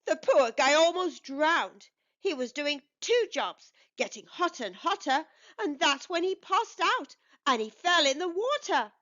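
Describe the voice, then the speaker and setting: A woman's voice: high-pitched, sing-song, unhurried, pushed and bright, muffled recording, pitched above the performer's own voice, heavily acted. A woman voicing a bossy, exasperated male beaver foreman in a children's storybook, emphatic and scolding. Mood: grave.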